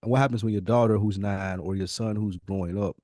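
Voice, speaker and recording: A man's voice: on the low side, fairly even intonation, brisk, dark tone, dry recording, studio quality. A man co-hosting a podcast in casual chat, thinking aloud reflectively and circling back over his words. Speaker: podcast co-host.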